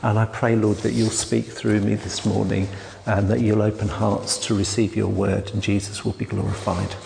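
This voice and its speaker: A man, a church elder giving a sermon in a quiet, hesitant way with many restarts. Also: lowish voice, flat delivery, moderate pace, creaky, background noise. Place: church hall. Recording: long hall reverb.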